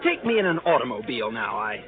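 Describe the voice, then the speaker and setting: A man's voice: medium pitch, big pitch swings, quick pace, phone-quality sound, lightly acted. An actor playing a boastful, gluttonous big young man with folksy, self-satisfied manners in a 1930s radio comedy. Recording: thin, band-limited 1930s radio recording, crowd.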